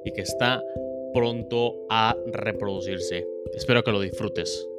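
A man's voice: lowish voice, loud music behind the voice. A man hosting a casual interview podcast from a guest's office, often repeating words and restarting as he talks. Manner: halting, repeats words while reading sponsor spots. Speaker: podcast host.

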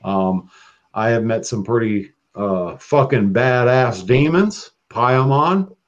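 A man's voice: medium pitch, animated, slow pace, dull audio. A man chatting as a podcast guest, flamboyant and profane, calling people baby and girl. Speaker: guest, occult practitioner. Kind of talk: casual podcast chat.

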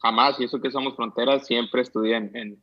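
A man's voice: mid-range voice, fairly even intonation, a little fast, muffled recording. A man from Matamoros with a northern Mexican accent, chatting casually and colloquially as a chef guest, often adding tag questions. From Matamoros, Tamaulipas, Mexico. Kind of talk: casual anecdotal chat.

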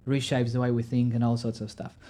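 Man with a mellow voice. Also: medium pitch, monotone, a little fast. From Poland. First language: Polish. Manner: thoughtful, explaining step by step with asides. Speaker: podcast co-host explaining theology. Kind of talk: casual explanatory chat.

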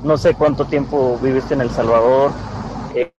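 A man hosting an interview conversationally, haltingly repeating words as he shapes his thoughts. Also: mid-range voice, fairly even intonation. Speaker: interviewer, program host. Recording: dull lo-fi sound with background noise.

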